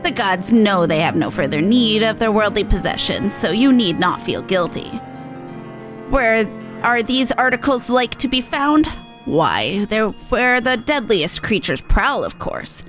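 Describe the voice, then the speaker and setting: A woman's voice: medium pitch, sing-song, a little slow. A woman voicing a sly, secretive female dealer from a fantasy game, arch and knowing in formal phrasing. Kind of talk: reading fantasy game dialogue aloud. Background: game music.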